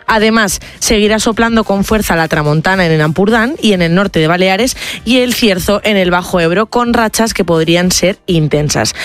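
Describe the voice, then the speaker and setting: A woman's voice: mid-range voice, no echo. A woman from northern Spain with a Spanish accent, co-presenting on the radio, chatting and presenting bulletins. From Burgos, Spain.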